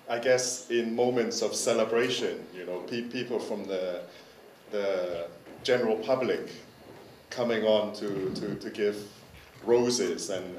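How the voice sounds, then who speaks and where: A man whose first language is Dutch presents a curator's talk in a lecture hall, slow and hesitant, with plenty of repeated words and fillers. His slightly low voice carries the roomy echo of the hall and sounds distant from the microphone. A faint noise sits underneath. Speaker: curator giving a forum presentation. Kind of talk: conference talk. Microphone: handheld microphone.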